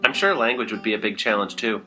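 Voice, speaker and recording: A man co-hosting a scripted podcast, with a quick, deadpan delivery. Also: fairly low pitch. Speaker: podcast co-host. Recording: music playing clearly behind.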